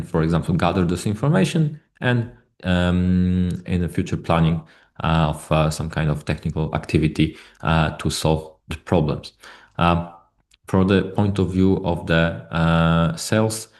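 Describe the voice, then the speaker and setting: A man who is not a native English speaker giving a technical product presentation in a webinar, speaking haltingly with many hesitations and repeated words. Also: low voice, a little mellow.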